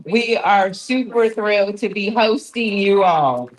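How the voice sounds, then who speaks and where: A woman's voice: medium pitch, unhurried, poor audio. A woman speaking as a college president, delivering a formal welcome speech.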